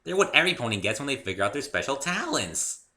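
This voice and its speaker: A man voicing a bubbly, excitable young female cartoon pony with a perky, exclamatory delivery in a story reading. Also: mid-range voice, fast, pitched above the performer's own voice, heavily acted. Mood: excited.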